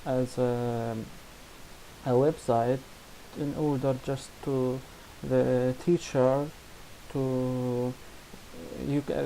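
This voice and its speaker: A man with a Syrian Arabic accent walks through a software demo at a slow, careful pace, often restarting his sentences in non-native English. His voice is mellow and medium in pitch. A faint hiss runs under the recording. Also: gravelly, rough recording. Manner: halting, many restarts and fillers, non-native grammar. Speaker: student presenting a project.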